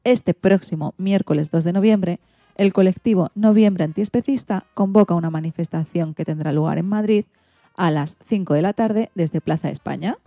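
A woman hosts a radio show, reading her script. Her voice sits fairly low and has a soft, mellow color. The sound is close and dry. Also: normal speed, dry recording. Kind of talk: scripted magazine-show presenting.

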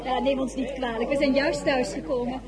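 A woman's voice: sits high, steady tone, brisk, natural voice. A woman playing an effusive, chattering society lady in a radio drama, with a gushing, theatrical manner. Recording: loud party crowd behind voice.

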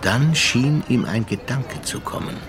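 An actor playing a seafaring narrator in a radio drama, telling the tale in a measured, literary manner. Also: male voice, mid-range voice, animated, natural voice. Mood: measured, recollecting. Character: male seafaring narrator, measured, observant storyteller.

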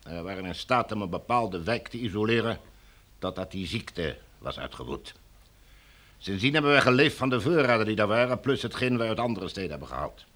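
Low-voiced, officious military commander. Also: male voice, expressive, fairly quick, a bit bright. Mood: coolly matter-of-fact. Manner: formal, officious, bureaucratic. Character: smooth, domineering military sector commander, formal and coldly menacing. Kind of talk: radio drama acting.

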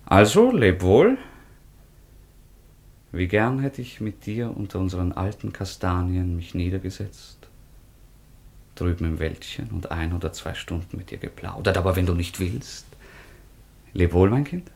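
Young romantic nobleman, low gravelly voice, earnest, melancholy, lyrical radio play delivery. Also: lively intonation, measured pace, pauses often, darkish tone, full character voice. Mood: earnest, wounded. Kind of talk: classic radio play acting.

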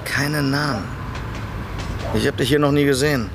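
A streetwise, suspicious underworld man, performed by a male actor in a radio play. The voice is creaky and medium in pitch. He talks in a brusque, impatient, sardonic way. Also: steady tone, moderate pace, background noise, close to an everyday voice. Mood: suspicious, curt. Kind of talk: radio drama dialogue.

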